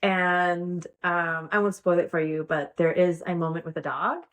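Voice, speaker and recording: A woman's voice: fairly low pitch, steady tone, moderate pace. A woman in her thirties, a podcast guest chatting casually, rambling with many fillers and frequent giggles. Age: 30s. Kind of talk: casual interview chat.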